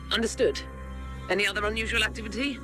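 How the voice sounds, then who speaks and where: A woman playing a stern, imperious military commander in a video game, scornful and sharp in giving orders. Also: mid-range voice, steady tone, fast, music in the background, close to an everyday voice. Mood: businesslike. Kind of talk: scripted video game acting.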